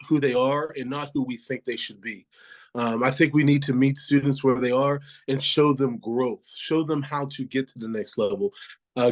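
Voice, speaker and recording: Stuffy-nosed guy answering interview questions, earnest, lots of ums, mid pitch. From Pittsburgh, Pennsylvania. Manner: earnest, with frequent fillers. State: congested from a cold. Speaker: school board candidate.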